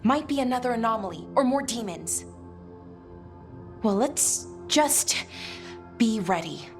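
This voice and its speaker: A woman voicing an anxious, earnest young elf adventurer in a video game, halting and quick to blame herself. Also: fairly high pitch, expressive. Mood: wary, hesitant. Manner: halting, hesitant, repeats herself. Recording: game music behind the voice.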